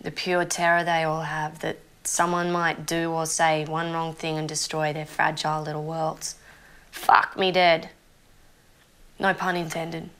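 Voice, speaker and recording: A woman's voice: low voice, flat delivery, measured pace, fairly bright tone, clear, resonant tone. An actress playing an opinionated young female zombie with a conscience, ranting conversationally and swearing freely in an Australian accent, as if interviewed for a mockumentary. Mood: bitter, wry. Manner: sweary, indignant, conversational rambling.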